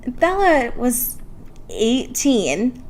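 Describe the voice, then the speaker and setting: Girl early 20s on a podcast, kinda high voice, chatty n wry, swears a bit, makes fun of herself. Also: wildly swooping pitch, extremely slow, low-quality recording. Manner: informal, self-deprecating, wry, swears casually. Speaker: podcast co-host. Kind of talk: casual chatty book discussion.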